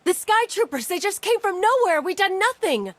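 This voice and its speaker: A frightened young refugee from a video game, her voice high and shaken. She speaks urgently, heard dry and close. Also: big pitch swings, moderate pace, fairly bright tone, no echo, full character voice. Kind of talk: video game cutscene acting.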